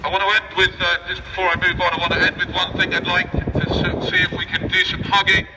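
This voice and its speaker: High-pitched man speechifying on a noisy street. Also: level tone, fast. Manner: provocative, cheeky, rhetorical questioning. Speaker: street protester and speaker. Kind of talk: improvised street speech and banter with passers-by. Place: outdoors on a busy city street. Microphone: megaphone outdoors, picked up by a camera at a distance. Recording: distant megaphone speech, noisy windy street.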